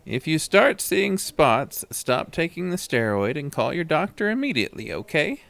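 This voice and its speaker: A man's voice: on the high side, sing-song, moderate pace, creaky, pitched above the performer's own voice, exaggerated voice. A man voicing a concerned pharmacist who gives emphatic, cautioning instructions in an audio horror drama. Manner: alarmed, louder, emphatic warnings. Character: concerned, cautioning male pharmacist behind a counter.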